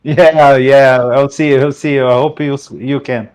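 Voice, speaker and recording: A young Brazilian man with a Brazilian Portuguese accent, racing winner giving a post-race interview over a headset, searching for words in halting English. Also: medium pitch, steady tone, darkish tone, clear, resonant tone. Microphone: headset over voice chat.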